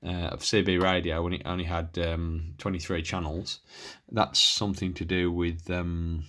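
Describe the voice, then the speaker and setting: Low-voiced British man, rambling through a demo. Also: flat delivery, normal speed, a bit bright. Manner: rambling, hesitant, self-interrupting. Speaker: hobbyist showing and reviewing his radio gear. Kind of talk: casual unscripted equipment demo. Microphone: handheld camera.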